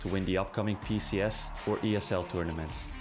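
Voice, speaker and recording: A man's voice: sits low, steady tone, quick pace, over music. A man from the Netherlands, a pro esports player speaking in simple, direct phrases in an interview voiceover. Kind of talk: documentary-style interview voiceover.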